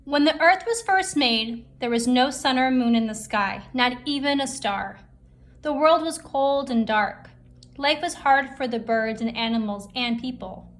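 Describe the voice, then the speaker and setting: Higher-voiced woman reading a storybook aloud. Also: unhurried. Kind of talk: storybook read-aloud for children.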